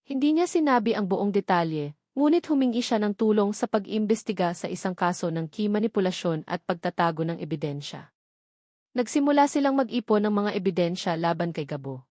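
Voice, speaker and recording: A woman's voice: medium pitch, lively intonation, a little fast, mellow, dry recording, clean studio sound. A woman with a Filipino accent reading a dramatic romance story as a scripted narration.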